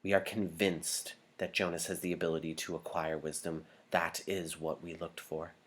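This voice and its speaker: Storybook chief elder, a grave, authoritative woman played by a man, low voice, formal measured address. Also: little pitch movement, fairly bright tone. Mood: solemn, ceremonial. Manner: formal, deliberate, ceremonial. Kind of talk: audiobook character dialogue.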